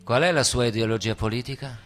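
A man's voice: mid-range voice, quick pace, music in the background, close to an everyday voice. A man playing a menacing, predatory secret-police interrogator in a dramatic stage reading, controlled and commanding. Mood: cold threat.

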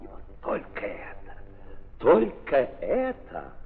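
Slow, sweeping male 1930s narrator. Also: fairly high pitch, wildly swooping pitch, vocal fry. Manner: emphatic, declamatory, with repeated phrases and pauses. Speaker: narrator of a staged literary drama. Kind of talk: 1930s theatrical dramatic narration. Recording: echoey, noisy, muffled 1930s audio play.

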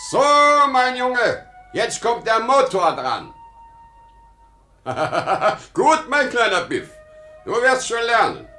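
High, echoing, dreamy ageing father. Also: very animated, slow, pauses often, bright, forceful tone, heavily acted. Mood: fond, dreamy. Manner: drawling, singsong, fatherly talking to himself. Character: ageing dreamy father fondly talking to his imagined boy. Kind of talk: 1950s German radio play acting. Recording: roomy, echoing, faint music behind.

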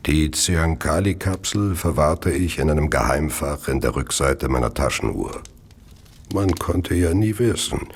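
An actor in a radio play narrating as a cold, calculating Victorian murderer, measured and confessional. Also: male voice, very deep voice, creaky, slightly rough, exaggerated voice. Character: cold, calculating male Victorian murderer recounting his deeds.

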